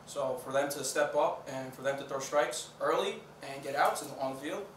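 A man's voice: medium pitch, normal speed, fairly bright tone. A young man, a college baseball catcher, giving casual answers in a post-game interview. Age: young adult. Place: indoor press conference room at a table. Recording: echoey, distant mic, faint noise.

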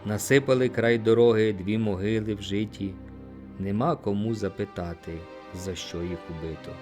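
Low-voiced man reciting poetry over music. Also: steady tone, normal speed, a little mellow. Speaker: audiobook narrator. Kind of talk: expressive dramatic poetry recitation.

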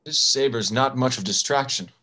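A man's voice: mid-range voice, moderate pace, sharp tone, lightly acted. A young man playing a courteous duke in a Shakespeare comedy read. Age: young adult. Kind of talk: live table read of Shakespearean verse drama. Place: bedroom, video call.

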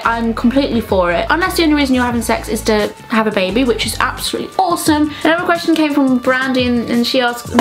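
A young woman with a fairly high voice talks straight to camera in a casual Q&A style. She is frank, informal and animated, with a jokey edge. Music plays behind her. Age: young adult. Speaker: vlogger answering viewer questions. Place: small bedroom, indoors.

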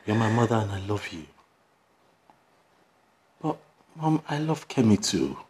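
A man playing a devoted, earnest grown son in a melodrama, speaking in halting, repetitive phrases. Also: on the low side, big pitch swings, darkish tone. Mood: earnest, pleading. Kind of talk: melodramatic screen acting. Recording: clear background noise, room ambience.

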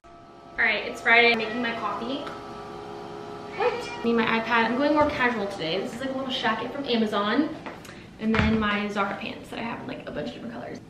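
A woman's voice: fairly high pitch, fairly quick. A young woman chatting casually and informally in short thinking-aloud phrases, as a lifestyle vlogger filming her day at home. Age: young adult. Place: apartment kitchen and rooms, indoors. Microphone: camera mic a few feet away. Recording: roomy, distant, noisy camera audio.

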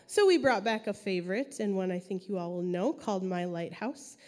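A woman's voice: mid-range voice, lively intonation, a little fast, a little mellow. A woman in a church sanctuary, upbeat and encouraging as she introduces a kids' song to the congregation. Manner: upbeat and encouraging, coaxing the crowd.

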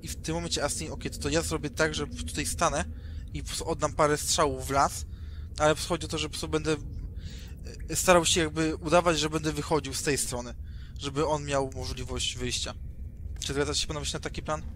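A man with a fairly high voice and flat intonation talks through his moves in a roleplaying game. He is halting and repeats words, with faint ambient noise behind him. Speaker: tabletop roleplay player. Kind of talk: improvised in-game discussion.

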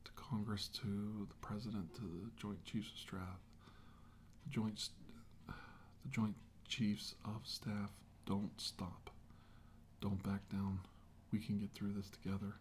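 A middle-aged man playing a grim, remorseful military scientist in an ASMR drama, speaking in hushed, halting phrases that often drop to a whisper. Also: lowish voice, little pitch movement. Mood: resolute. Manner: hushed, halting, slow delivery in short phrases. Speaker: ASMR role-play actor. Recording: hushed voice over clear background noise.

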